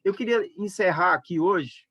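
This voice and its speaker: A man's voice: high voice, dry recording. Man moderating, dull dry audio. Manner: measured, hesitant, many fillers. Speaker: event moderator and book organizer. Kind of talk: online book launch closing remarks. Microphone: video call.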